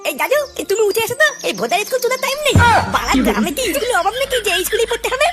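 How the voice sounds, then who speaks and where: A woman's voice: extremely high pitch, very animated, racing. A woman with a West Bengal Bengali accent voicing a cheeky, foul-mouthed schoolboy in a comedy cartoon, brash and whining. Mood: brash, scornful.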